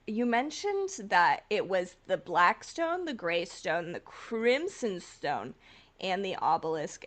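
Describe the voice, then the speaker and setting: Mid-pitched woman co-hosting a podcast. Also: a bit bright. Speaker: podcast co-host. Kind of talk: casual chat.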